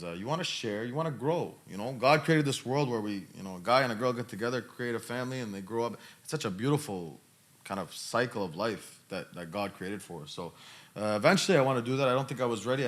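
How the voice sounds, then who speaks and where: A man's voice: on the low side, a bit bright. A man in his thirties talking casually as a podcast host, emphatic and given to repeating his phrases. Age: 30s. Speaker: podcast host answering questions. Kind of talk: casual conversational talk.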